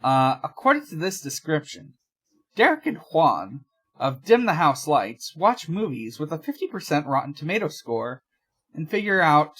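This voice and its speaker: Bright forceful voice, mid-pitched, casual podcast host, halting, rambling delivery. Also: very animated, slightly rough.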